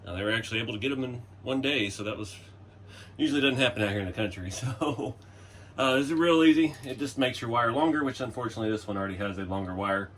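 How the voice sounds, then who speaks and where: A man with a middle-pitched voice, talking through a relaxed workshop tutorial in a home garage. He is chatty and easygoing, fills pauses often, and sounds a bit hoarse. Faint ambient noise sits behind him. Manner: laid-back, chatty, frequent "uh" and chuckles. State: hoarse, losing his voice. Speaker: RC hobby channel host.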